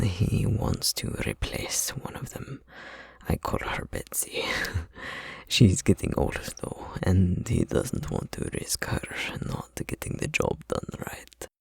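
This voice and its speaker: A man playing an easygoing, chatty tattoo studio employee in an ASMR roleplay, talking in a breathy, husky, casual, rambling way. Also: fairly low pitch, very animated, slow, a little mellow, no room sound, exaggerated voice. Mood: friendly, easygoing.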